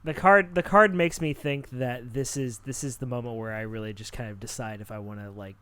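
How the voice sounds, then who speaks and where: A man playing a tabletop game, chatting casually and haltingly as he thinks aloud. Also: medium pitch, a bit bright, clear, resonant tone.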